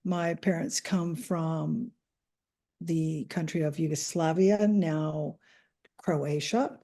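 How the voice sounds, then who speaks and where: A woman's voice: deep voice, steady tone, takes it slowly, warm tone. A Canadian woman, a podcast guest, answering interview questions in a chatty, hesitant way with many ums and restarts. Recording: audio podcast remote recording.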